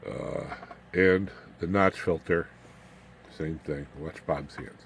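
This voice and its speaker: A man with a deep voice talks through a hands-on demonstration of his homemade equipment. His delivery is informal and halting, with muttered asides to himself as he works. There is faint noise and room ambience behind him. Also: steady tone. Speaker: hobbyist radio builder demonstrating his equipment.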